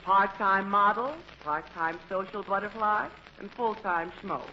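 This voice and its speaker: A woman's voice: medium pitch, unhurried. A woman giving scripted, wry and playful comic narration for a vintage radio show. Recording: muffled, noisy old radio recording.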